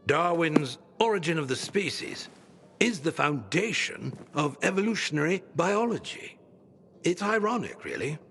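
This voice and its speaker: A grandiose old scholar. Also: male voice, a little high, very animated, moderate pace, vocal fry, a bit bright, big-room sound, slight background noise, exaggerated voice. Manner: pompous, flowery speech. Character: grandiose old male scholar, theatrical and florid. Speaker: book-collecting patron.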